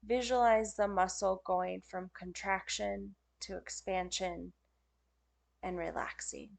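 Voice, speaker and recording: A woman leading a guided yoga nidra meditation as a teacher, speaking in gentle, soothing, unhurried phrases with pauses. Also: mid-range voice, fairly even intonation, creaky.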